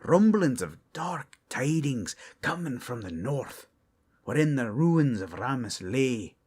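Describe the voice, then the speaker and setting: A man voicing a dignified male dwarf high priest in a fan audio drama, speaking in formal, old-fashioned phrasing. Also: very animated, slow, a little mellow, breathy edge, exaggerated voice. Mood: stately.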